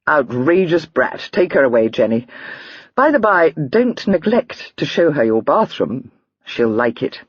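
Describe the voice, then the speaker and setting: A warm-hearted, teasing young gentleman who speaks to a girl with easy fondness, a touch lower than the narration. A woman reads him in her own breathy voice, dry and slightly muffled. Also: big pitch swings, unhurried, dry recording, heavily acted. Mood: fond.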